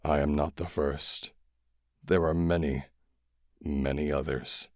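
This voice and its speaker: A man gives voice to a wounded alien close to death, speaking in a slow, solemn, measured way. The voice sits very deep and stays dry, with no room around it. Each word comes out heavy and grave. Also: steady tone, darkish tone, dry recording. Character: dying gentle alien, grave and slow-spoken.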